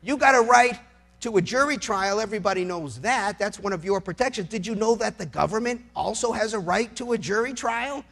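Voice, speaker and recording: A man's voice: sits high, expressive, a little fast, gravelly, a bit bright. A man speaking as a lawyer giving a persuasive public talk, emphatic and incredulous, full of rhetorical questions. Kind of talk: persuasive political speech on law, with anecdotes and rhetorical questions.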